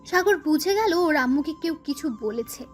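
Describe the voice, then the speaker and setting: A woman narrates a scripted audio story. Her voice sits high, and music plays behind her. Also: lively intonation, moderate pace. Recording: music bed under the voice. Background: background music.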